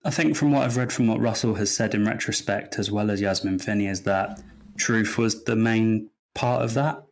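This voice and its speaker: A man speaking as a podcast guest in a casual fan discussion, agreeable and reflective, explaining his thoughts in a measured way. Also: lowish voice, creaky.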